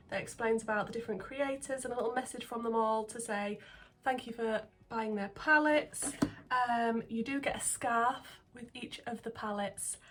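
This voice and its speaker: A woman who is getting over a cold, chatting excitedly through a makeup vlog at home, full of ums and sometimes dropping to a near-whisper. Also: a little high. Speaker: makeup vlogger. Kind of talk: casual beauty vlog chat. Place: indoors at home, filming makeup at a mirror.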